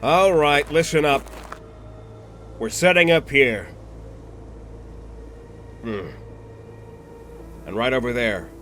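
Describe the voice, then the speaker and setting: A man playing a cold, contemptuous villain who commands a squad in an audio drama, deliberate and imperious. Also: mid-range voice, animated, a bit bright, a little hiss or hum. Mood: menacing, commanding. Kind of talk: anime audio roleplay acting.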